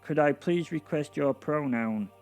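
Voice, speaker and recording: A man voicing a flustered, sleepy little clerk at heaven's gate in an audio drama, halting and polite as if reciting by rote. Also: a little high, a little mellow, faint music behind, pitched above the performer's own voice, natural voice. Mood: rote, nervous.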